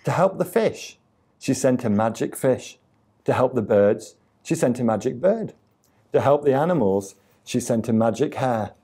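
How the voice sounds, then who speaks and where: A man reading a children's tale aloud as a storyteller, with deliberate, expressive delivery. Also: mid-range voice, breathy edge. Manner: deliberate, expressive reading with acted sound effects.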